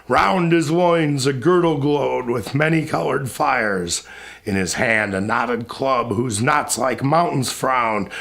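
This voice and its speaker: Slow, creaky-voiced man reciting epic poetry. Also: mid-range voice, fairly bright tone. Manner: grave, declamatory, deliberate phrasing with pauses. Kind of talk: solemn dramatic poetry recitation.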